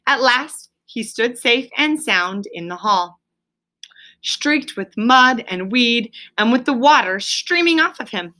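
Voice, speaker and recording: A woman reads a children's story aloud as a teacher would. Her voice is bright and forceful and slightly high, with deliberate, emphatic phrasing and short explanatory asides. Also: expressive.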